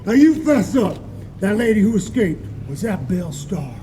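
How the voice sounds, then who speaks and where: A man's voice: high-pitched, sing-song, normal speed. A man playing a blustering Old West sheriff in an outdoor reenactment, hollering in folksy frontier slang. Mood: interrogating. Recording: noisy outdoor recording.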